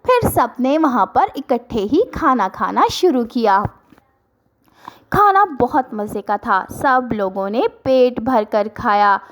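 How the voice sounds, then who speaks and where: A woman's voice: fairly high pitch, expressive, takes it slowly, fairly bright tone. A woman narrating a scripted cartoon story for children as the storyteller.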